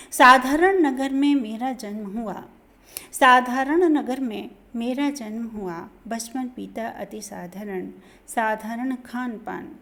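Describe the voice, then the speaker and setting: A woman lecturer reading a literature lesson aloud in a slow, deliberate formal manner, her voice dropping softly at the end of each sentence. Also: fairly high pitch. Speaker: college Hindi lecturer.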